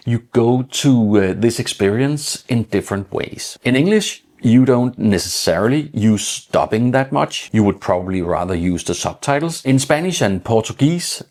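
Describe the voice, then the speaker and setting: A middle-aged man from Denmark with a Danish accent, explaining his channel strategy in an informal vlog, measured and plain, with some slightly broken phrasing. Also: mid-range voice, a little mellow. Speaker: channel host.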